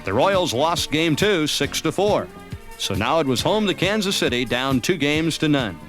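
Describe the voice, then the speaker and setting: An American man narrates a sports recap in a fairly high voice with animated pitch swings. The delivery is scripted storytelling, with music playing faintly behind him. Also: normal speed. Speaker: documentary narrator.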